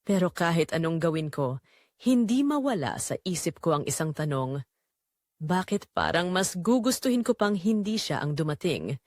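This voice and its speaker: Animated Filipino woman narrating a confessional drama. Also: fairly low pitch, moderate pace, no room sound, clean studio sound. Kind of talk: dramatic first-person scripted narration.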